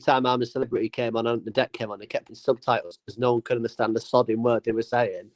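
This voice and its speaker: A hoarse, husky man, rambling and joking with swears in a casual livestream chat. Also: mid-range voice, fairly even intonation, quick pace, no room sound. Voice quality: husky, coughing. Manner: rambling, jokey, swears, mimics people. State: hoarse smoker's voice. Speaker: co-host.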